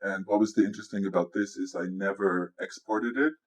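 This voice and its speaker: Man, low voice, music producer, casual tutorial narration, informal explanatory delivery. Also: fairly even intonation, brisk. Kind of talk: casual software walkthrough. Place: indoors, voice-over on a screen recording.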